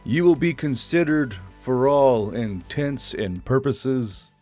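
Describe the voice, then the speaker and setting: A resolute military drill sergeant from a science fiction audio drama, acted by a man. He speaks slowly and solemnly, as if leading a ceremony, at a medium pitch over a music bed. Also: a little mellow. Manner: measured, solemn, ceremonial delivery.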